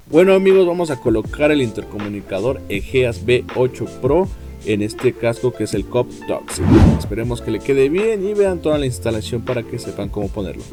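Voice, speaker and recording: A man with a Mexican Spanish accent casually unboxing and explaining motorcycle gear, chatty and full of slang and filler phrases. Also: mid-range voice. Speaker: moto vlogger.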